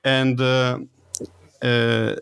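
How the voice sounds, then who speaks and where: A man's voice: mid-range voice, level tone, very slow, vocal fry, a bit bright, clear, resonant tone. Lithuanian man, hesitant nonnative English. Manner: hesitant, many fillers and restarts, nonnative phrasing. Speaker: guest, virtual summit organizer. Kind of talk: casual interview talk.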